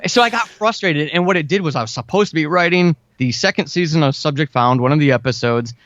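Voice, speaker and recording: A man's voice: on the high side, expressive, quick pace, a bit bright, no room sound. A man in his forties talking casually and earnestly as an interview guest, with an enthusiastic manner. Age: 40s. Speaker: guest, fiction and audio drama writer. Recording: dull, lo-fi band-limited audio.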